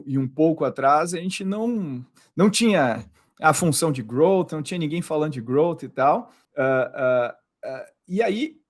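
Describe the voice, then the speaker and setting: A Brazilian man hosting a podcast interview, talking casually and often restarting and repeating words. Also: a little high. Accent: Brazilian Portuguese. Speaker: podcast host.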